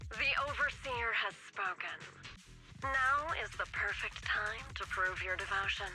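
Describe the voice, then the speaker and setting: An actor playing a solemn cult messenger in a video game, formal and authoritative. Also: male voice, sits high, a little slow, music in the background. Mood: commanding.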